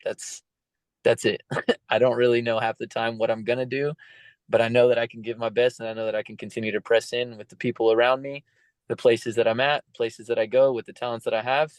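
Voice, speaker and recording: Earnest thirties man, guest on a chat podcast, mid-pitched, close and dry. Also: little pitch movement, fairly quick, clean tone, no room sound. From United States. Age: 30s. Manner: earnest, reflective, many fillers. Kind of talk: casual interview talk.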